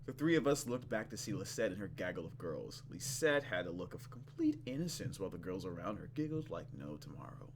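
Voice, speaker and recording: A man hosting a gaming video, reading story text aloud with casual, playful commentary and expressive reactions. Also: low voice, a little fast, vocal fry, few pauses, noisy background. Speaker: gaming channel host.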